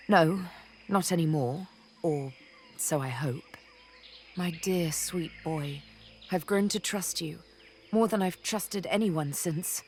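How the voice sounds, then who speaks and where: Low, slow, haunted elven woman. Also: darkish tone, a little hiss or hum. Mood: tender, vulnerable. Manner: theatrical, deliberate, poetic phrasing. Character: haunted, wistful young elven woman, escaped slave turned adventurer. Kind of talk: dramatic video game dialogue, intimate storytelling.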